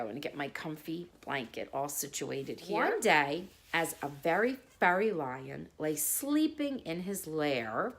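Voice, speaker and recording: A woman hosting a children's bedtime story segment, talking to young listeners in a simple, friendly way and reading aloud. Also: low-pitched. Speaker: children's storytime host and reader.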